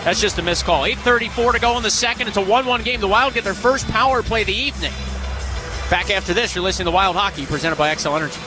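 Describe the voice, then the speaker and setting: High-pitched male hockey play-by-play announcer. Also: fast, music in the background. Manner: clipped, vivid running call. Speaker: ice hockey radio play-by-play commentator. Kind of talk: live sports play-by-play commentary.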